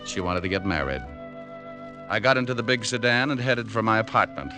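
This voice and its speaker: Wisecracking private eye, low lively voice, man acting it on an old radio show with music behind. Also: fairly quick, close to an everyday voice. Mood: narrating. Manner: wisecracking, dry. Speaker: narrator and lead.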